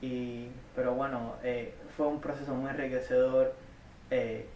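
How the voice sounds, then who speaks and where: An earnest young man from Cuba speaks, answering interview questions in a halting way, often restarting and repeating words. His voice stays flat and even in pitch. The mic sits well away from him in an echoey room with a faint hum of background noise. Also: far from the mic. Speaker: community college student.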